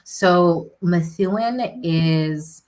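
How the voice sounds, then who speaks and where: A woman's voice: lowish voice, drawn-out words, a little mellow. A woman city councilor answering interview questions earnestly, often tagging points with "right?".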